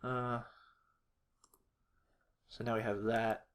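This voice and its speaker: A man's voice: mid-range voice, level tone, extremely slow. Casual, hesitant man thinking aloud. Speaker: animator, video creator. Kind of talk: casual screen-recorded tutorial narration.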